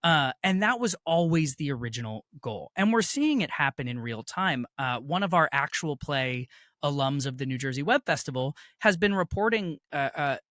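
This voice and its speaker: A man's voice: on the high side, expressive, moderate pace, fairly bright tone, dry recording, studio quality. A man being interviewed, talking in an articulate, persuasive and emphatic conversational way. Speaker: interview guest, festival organizer and actual play producer.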